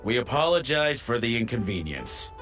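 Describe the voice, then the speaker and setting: Courteous ship crewman, animated intonation. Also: medium pitch, moderate pace. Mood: apologetic. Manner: formal, courteous. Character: polite, apologetic ship crewman addressing passengers. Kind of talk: video game voice acting. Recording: music playing behind the voice.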